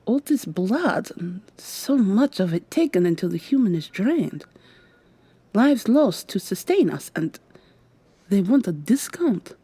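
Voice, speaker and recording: A woman's voice: mid-range voice, sing-song, takes it slowly, slightly rough, no echo, heavily acted. A woman playing a weary, sardonic vampire receptionist with a secret, acting in a scripted ASMR roleplay. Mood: wry, disdainful. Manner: polite customer-service patter, hushed urgent asides.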